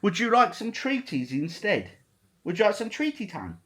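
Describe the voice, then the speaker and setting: A man's voice: high-pitched, huge pitch swings, moderate pace, fairly bright tone. A middle-aged man chatting casually to his vlog viewers, rambling along in British slang with chirpy greetings. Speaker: vlogger and retro gaming channel host.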